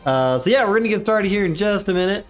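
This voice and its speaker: A man's voice: high-pitched, animated, quick pace, faint music behind. Chatty man streaming a game. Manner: chatty, upbeat, greets chat often. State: slightly congested from a cold. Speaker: livestream gamer. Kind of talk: casual livestream commentary.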